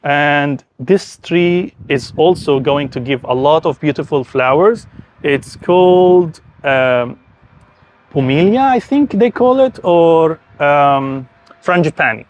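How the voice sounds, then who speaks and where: A man's voice: fairly high pitch, expressive, slow, muffled recording. A man from Syria with a Syrian Arabic accent speaks enthusiastically and conversationally, explaining things as host of an informal garden and villa tour.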